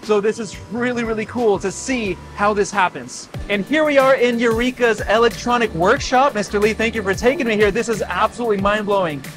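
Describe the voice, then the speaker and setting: A man in his thirties hosting a factory tour, talking casually and excitedly with a clip-on mic on a large factory floor. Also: high voice, brisk, hardly any pauses, music in the background. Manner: excited, repeats "really cool". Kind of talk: casual enthusiastic tour chat.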